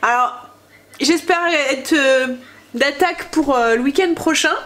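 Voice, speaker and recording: A woman's voice: high-pitched, unhurried, fairly bright tone. A woman in her thirties with a stuffy nose from a cold, chatting casually while cooking at home, hesitant with many fillers. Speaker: family vlogger. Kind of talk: casual cooking vlog chat. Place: home kitchen.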